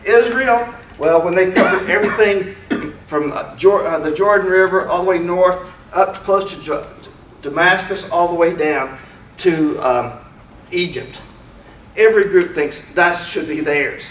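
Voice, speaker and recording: A man with a fairly high voice gives a loose, opinionated lecture and keeps throwing rhetorical questions at the room. He is picked up from a distance, so his voice carries the echo of a large lecture room. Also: a little slow, fairly bright tone, a little hiss or hum. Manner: conversational, asks many rhetorical questions; opinionated, speaks frankly. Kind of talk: informal lecture to an audience. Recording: roomy hall, mic far off.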